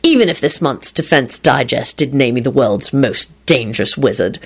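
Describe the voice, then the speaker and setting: A woman's voice: extremely low pitch, expressive, moderate pace, slightly rough, pitched below the performer's own voice, full character voice. Woman as a cocky, defiant male wizard. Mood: mock kindly. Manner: mock-innocent, then brash yelling.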